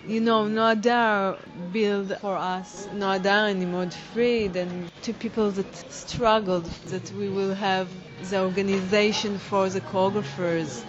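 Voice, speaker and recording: A woman, a dance teacher and choreographer, answering interview questions in halting English as she searches for words. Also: mid-range voice, fairly even intonation, dull audio, over music. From Israel.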